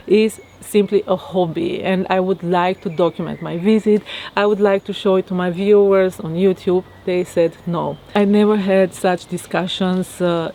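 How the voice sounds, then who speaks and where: A woman from Romania with a Romanian accent, talking reflectively to her viewers in a halting way with many fillers. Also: lowish voice, a little mellow. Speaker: vlogger. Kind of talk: casual monologue to camera. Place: outdoors in her garden.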